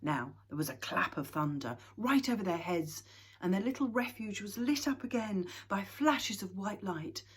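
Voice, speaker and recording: A woman reading a children's storybook aloud in a hushed, often whispered way. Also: mid-range voice, expressive, moderate pace, slightly rough.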